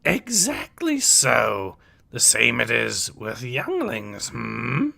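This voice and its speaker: A small, ancient alien sage played by a man teaches with solemn patience in a comic-book read-aloud. The voice is croaky and gravelly, the delivery slow, with backwards phrasing, thoughtful hums and wide swings in pitch. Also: huge pitch swings, sharp tone, full character voice. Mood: solemn, teacherly. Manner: inverted word order, deliberate pauses, musing hums.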